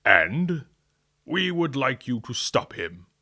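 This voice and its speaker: An officious government man from a western radio drama. The voice is forceful and crisp-edged, with animated intonation. He speaks formally and with stern authority. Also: mid-range voice, slow pace, full character voice.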